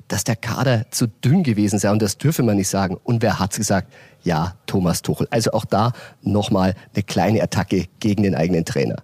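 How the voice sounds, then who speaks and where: A man with a low, husky voice gives a matter-of-fact recap in a casual sports podcast. The sound is close and dry. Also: darkish tone, no echo.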